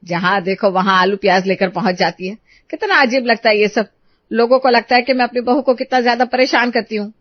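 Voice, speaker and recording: A woman plays an older mother-in-law in an animated moral tale. The voice is fairly high and pleading, with exclaiming, dramatic delivery. The sound is very dry and a little dull. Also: a little fast, dry recording, close to an everyday voice. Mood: exasperated pleading. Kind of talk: animated moral story dialogue.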